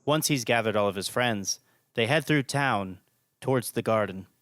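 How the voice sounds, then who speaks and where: A man's voice: medium pitch, moderate pace, a bit bright, dry recording, clean studio sound. A man hosting and narrating a scripted audio drama podcast.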